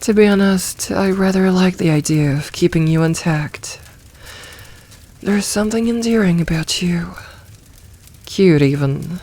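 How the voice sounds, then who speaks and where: A woman voicing a possessive, teasing, dominant demoness in a soft, intimate ASMR roleplay, commanding and deliberate. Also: lowish voice. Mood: threatening, coaxing.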